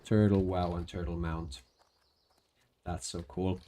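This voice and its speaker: A man's voice: deep voice, level tone, slow, warm tone. A young man with a Finnish accent chatting casually while streaming a game, hesitant with many fillers and restarts. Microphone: desk microphone.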